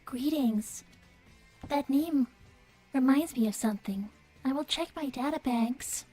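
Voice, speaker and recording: A woman voicing a solemn little girl android, a villain's devoted AI daughter, speaking in a measured, formal, earnest way on a livestream. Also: high-pitched, dark tone, soft background music. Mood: clinical. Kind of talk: improvised in-character livestream Q&A.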